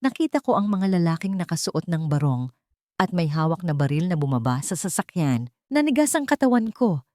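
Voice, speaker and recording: A woman's voice: on the low side, sing-song, fairly quick, darkish tone, no room sound, clean studio sound. A woman reading a scripted, dramatic first-person audio story as its narrator.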